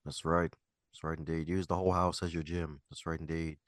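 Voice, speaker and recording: A man's voice: a bass voice, little pitch movement, fairly quick, no room sound, clean studio sound. A man hosting a casual interview podcast, jokey and folksy, peppering his talk with stock affirmations and slang. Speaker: podcast host. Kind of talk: casual interview chat with playful asides.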